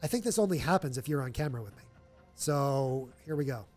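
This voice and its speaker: A man's voice: mid-range voice, expressive, a little fast, a little mellow, faint music behind. A man with a nasal voice hosting a live charity stream, chatting upbeat to fill time. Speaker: livestream host. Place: stadium event room.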